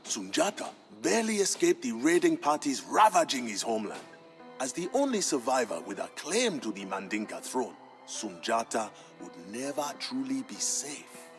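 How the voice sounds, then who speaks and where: An actor playing a proud West African griot, a solemn royal bard narrating a game's story in a deliberate, grave, theatrical manner. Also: male voice, mid-range voice, big pitch swings, lightly acted. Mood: solemn, dramatic. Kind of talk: dramatic scripted storytelling narration for a game. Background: music.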